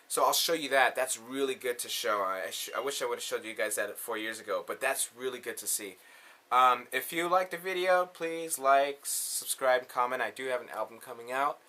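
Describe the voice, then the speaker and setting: A man's voice: mid-range voice, bright, forceful tone. A man hosting a casual hands-on tutorial, talking in an informal, slangy way. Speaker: tutorial host.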